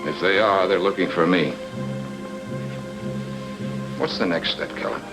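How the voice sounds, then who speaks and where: A man's voice: low-pitched, a little slow, music in the background. A man playing a sardonic, probing private eye in a film noir radio drama, terse and wry.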